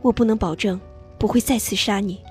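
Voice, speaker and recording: A woman's voice: medium pitch, a little fast, a little mellow, lightly acted. A woman acting a cold, curt young female assassin in a radio drama, speaking in terse, clipped lines. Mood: guarded. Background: music score underneath.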